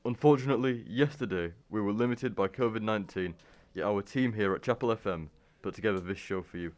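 A man presenting a community radio show and reading a spooky story in a calm, measured way. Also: fairly low pitch.

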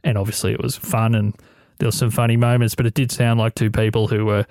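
Australian podcast host in a studio. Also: male voice, fairly low pitch, flat delivery, quick pace, a little mellow, no room sound. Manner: friendly, a bit rambling, self-deprecating. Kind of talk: interview chat. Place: radio studio. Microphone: studio mic.

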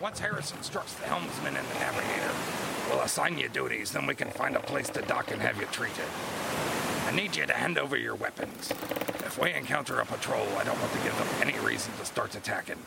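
A man playing a gruff, scheming captain of an old sailing ship in an audio drama, with commanding, salty seafaring speech. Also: medium pitch, big pitch swings, brisk, heavy background noise. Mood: guarded, stern. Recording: loud ship and sea ambience behind.

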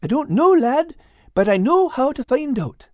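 A little storybook tomcat, pitched far up and bouncing all over. He is nervous and excitable, stammering through folksy exclamations in a dry, close recording. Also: moderate pace, no room sound. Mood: chatty. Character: small, excitable, nervous male cat, comically flustered. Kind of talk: children's storybook character voice.

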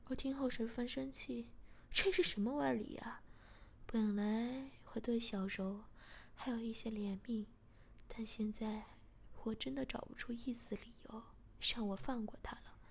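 A woman narrating a first-person story aloud in a steady, soft whisper. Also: on the high side, a little slow, vocal fry, slight background noise.

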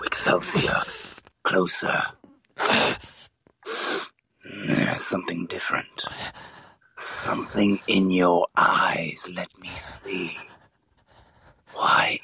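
Audio drama villain: sadistic alien warlord. Low, slow, theatrical, gloating, rasping. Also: male voice, pushed and bright, heavily acted, poor audio. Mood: sinister, hushed. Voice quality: gravelly, raspy. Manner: slow, grandiose, taunting villain delivery.

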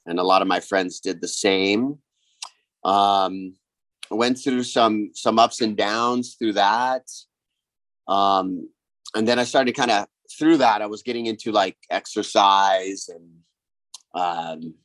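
A man from Hawaii, a guest on a podcast, talking about his life in a hesitant, reflective way with many pauses and restarts. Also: on the low side, fairly bright tone, no echo. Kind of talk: casual interview.

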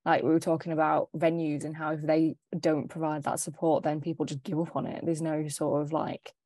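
Woman cohost, really low voice, kind of hedgy and thoughtful, dry sound. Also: little pitch movement, brisk, warm tone, no room sound. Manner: thoughtful, hedging with "like" and "sort of". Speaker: podcast co-host. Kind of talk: casual podcast chat.

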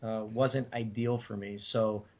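A middle-aged man from Detroit, hoarse and scratchy from a cold, answering interview questions with many fillers. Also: fairly low pitch, unhurried. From Detroit, Michigan. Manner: relaxed, many uh fillers and restarts. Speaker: guest business owner. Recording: dull lo-fi remote audio.

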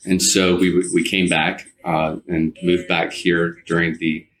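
Very low-voiced man in his fifties. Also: level tone, a little slow, fairly bright tone. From United States, partly Alabama and California. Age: 50s. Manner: rambling, circles back and rephrases. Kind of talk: conversational personal reminiscence.

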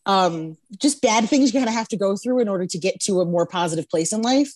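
A woman guest who reads tarot, talking frankly over a video call, laughing often and filling pauses with "um" and "like". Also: mid-range voice, lively intonation, a little mellow, no echo. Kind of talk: casual chat.

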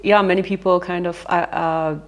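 A woman's voice: on the low side, flat delivery, normal speed. A woman in her thirties, from England, telling her career story candidly in a casual interview, with frequent fillers. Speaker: interviewee, company founder.

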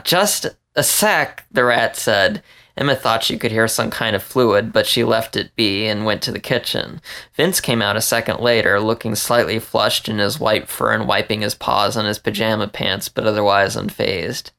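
A man with a creaky voice reading a story aloud as scripted narration. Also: on the low side, little pitch movement, normal speed, pushed and bright. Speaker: story reader.

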